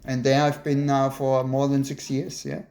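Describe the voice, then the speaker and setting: A chatty interviewer from Germany with a middle-pitched voice keeps the conversation casual. Short laughs punctuate the remarks, and the questions come in an easy, conversational flow. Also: flat delivery, normal speed, darkish tone.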